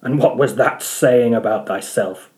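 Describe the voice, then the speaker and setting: A man's voice: mid-range voice, measured pace, darkish tone, close to an everyday voice. A man reading a storybook part as a proud, scornful old whaling captain with archaic, declamatory speech. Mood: scornful wonder.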